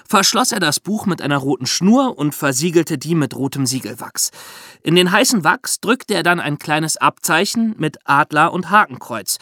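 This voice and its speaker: Bright, animated male podcast storyteller. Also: on the high side, no echo, clean studio sound. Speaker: podcast host. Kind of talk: lively storytelling narration for a true-crime podcast.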